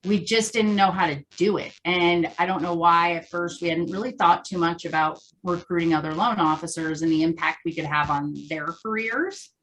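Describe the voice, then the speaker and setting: A woman with a fairly low voice speaks as an interview guest. She explains her company's approach in a conversational, earnest way, often framing points as questions. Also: normal speed, hardly any pauses, fairly bright tone, low-quality recording.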